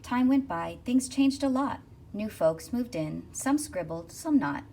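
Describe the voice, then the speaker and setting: A woman reading a rhyming children's picture book aloud expressively, with rhythmic, deliberate phrasing. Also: fairly high pitch, expressive, darkish tone. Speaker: school counselor reading aloud. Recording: distant mic with faint noise.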